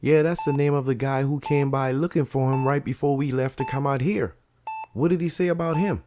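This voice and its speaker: A man's voice: mid-range voice, steady tone, brisk, darkish tone, natural voice. An actor playing an eager young man who rescues his uncle, speaking urgently.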